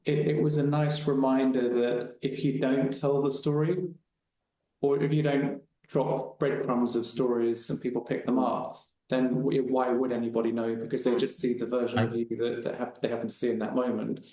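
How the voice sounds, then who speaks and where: A man's voice: medium pitch, level tone, moderate pace, a little mellow. A man on a webinar panel telling a personal story in a casual, rambling way with frequent restarts. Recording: very echoey room.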